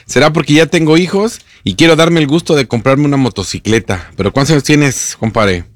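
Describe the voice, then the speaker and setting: A man's voice: fairly high pitch, brisk, no echo. A man, co-hosting a radio chat in a playful, joking way. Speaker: radio co-host.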